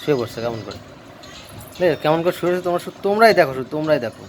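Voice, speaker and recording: A man's voice: fairly high pitch, lively intonation, racing, slight background noise. A man talking casually to viewers in a home vlog, often repeating short phrases. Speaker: family vlogger. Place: at home with a small child.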